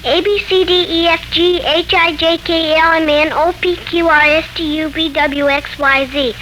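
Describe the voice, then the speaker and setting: High-voiced, curious little radio girl. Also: fairly even intonation, normal speed. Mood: proudly reciting. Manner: inquisitive, childlike, eager to show off. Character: chatty, curious seven-year-old girl. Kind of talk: 1940s radio serial acting. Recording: noisy, bright 1940s radio recording.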